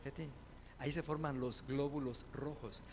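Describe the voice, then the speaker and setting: A man preaching a sermon as pastor, building to loud fervent proclamations and dropping into quick conversational asides. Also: medium pitch, steady tone, background noise.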